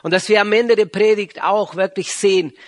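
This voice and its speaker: Argentine guy preaching in church, spanish native accent, bit higher voice, kinda searching for words. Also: fairly even intonation, normal speed. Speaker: visiting pastor and regional church leader. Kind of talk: sermon introduction to a congregation.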